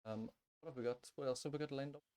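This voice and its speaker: A man's voice: medium pitch, steady tone, racing, a little mellow. A man co-hosting a music podcast in casual, agreeable, slightly hesitant chat.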